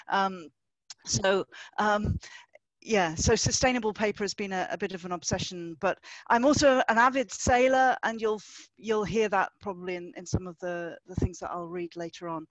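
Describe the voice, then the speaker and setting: A middle-aged woman from Northumberland introducing herself as a guest poet over a video call, halting and full of fillers. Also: medium pitch, dry recording, low-quality recording. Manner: halting, many fillers and restarts.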